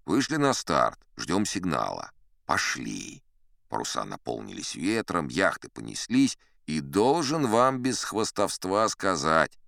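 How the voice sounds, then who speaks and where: A man's voice: deep voice, gravelly, a bit bright, no room sound, exaggerated voice. A boastful old sea captain recounts tall tales for an audiobook. His delivery swings expressively, with a self-satisfied, conversational air. The voice is resonant and recorded very dry and close. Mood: wistful storytelling. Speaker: audiobook narrator in character. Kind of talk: theatrical first-person storybook narration.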